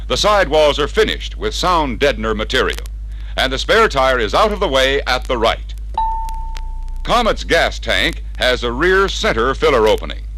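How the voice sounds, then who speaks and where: Bright, animated American announcer man, vintage record. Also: mid-range voice, rough recording. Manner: persuasive, emphatic announcer delivery. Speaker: sales training film narrator. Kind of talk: scripted 1960s sales narration. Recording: vintage 1961 vinyl record sound.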